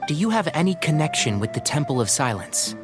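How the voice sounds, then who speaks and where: A man's voice: on the high side, big pitch swings, a little fast, over music. An actor playing a level-headed young male companion with a dry, teasing, practical manner. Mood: easygoing.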